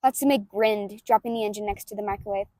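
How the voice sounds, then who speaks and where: A woman reading fan fiction aloud as an amateur narrator, expressive and informal. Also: medium pitch, fairly quick, no echo, clean studio sound. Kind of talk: expressive story narration and casual asides. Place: outdoors in a park near a road.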